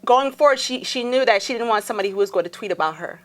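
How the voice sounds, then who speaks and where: A woman's voice: a little high, expressive, rapid-fire. A woman guest on a talk show, explaining and giving advice in a casual, earnest way with some fillers. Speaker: guest on social media.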